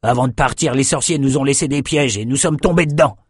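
A stern, impatient warlord general, as a male reader gives him voice, clipped and commanding. His tone sits a little higher and louder than the low narration around him, in a dry, close sound. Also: fast, husky, no room sound, natural voice.

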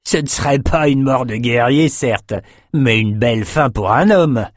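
A man's voice: medium pitch, measured pace, no room sound, studio quality, pitched above the performer's own voice, lightly acted. A boastful raider oarsman showing off, as a male reader gives him voice. His tone is smug and drawn out.

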